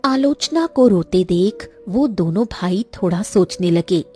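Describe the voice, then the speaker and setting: Female cartoon narrator: scripted storytelling, unhurried and mellow. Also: mid-range voice, expressive, soft background music.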